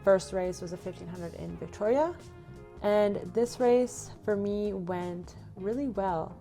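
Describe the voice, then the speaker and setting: A woman's voice: medium pitch, unhurried, warm tone, soft background music. A young woman from Canada, a runner, chatting casually in a vlog and reading out her own race notes. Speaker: runner recapping her racing season.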